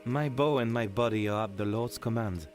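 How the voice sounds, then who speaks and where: A man's voice: medium pitch, moderate pace, faint music behind, close to an everyday voice. A man playing a stern, pious young medieval archer in an audiobook drama, speaking formally and without emotion. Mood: stoic, blunt. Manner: solemn, flat, formal. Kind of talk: audiobook character acting.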